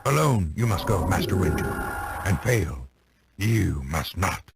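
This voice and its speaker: A man voicing a small, ancient, wise alien sage in a game, with a gravelly croak, grave manner and inverted, halting phrasing. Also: low voice, animated, over loud music, full character voice. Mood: urgent, grave. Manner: inverted word order, halting solemn phrasing. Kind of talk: video game cutscene acting. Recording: clearly noisy game audio.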